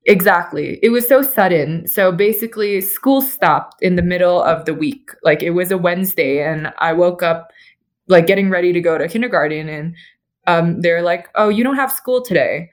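A woman's voice: on the low side, normal speed. A young woman, an architect and interview guest, telling her story casually with many "like"s over a remote call.

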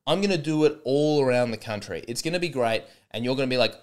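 A man's voice: medium pitch, lively intonation, fast, a little mellow. A young man hosting a solo comedy podcast, rambling and sarcastic with many false starts.

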